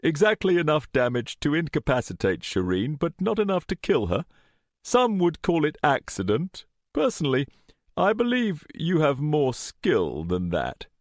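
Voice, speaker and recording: Male narrator as a cool manipulative villain, formal, unruffled, conversational, raised pitch, audiobook character. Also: sing-song, a little slow, darkish tone, no echo, studio quality. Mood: sly tempting. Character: smooth, coolly calculating, powerful male villain.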